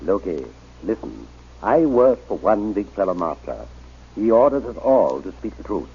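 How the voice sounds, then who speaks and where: Husky, earnest, persuasive missionary. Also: male voice, on the low side, big pitch swings, exaggerated voice. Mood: coaxing, probing. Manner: deliberate, coaxing, emphatic delivery. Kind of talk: old-time radio drama acting. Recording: muffled, dull old radio recording.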